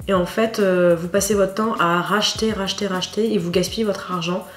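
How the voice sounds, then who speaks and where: A French woman vlogging in a casual chat, hoarse and stuffed up from a cold, talking with many fillers and restarts. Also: medium pitch, steady tone, brisk. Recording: echoey room with faint noise.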